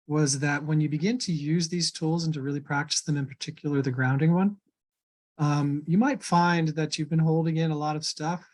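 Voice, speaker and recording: A man teaching an online workshop on personal energy, calm and reassuring as he reviews grounding skills. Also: on the high side. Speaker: workshop instructor.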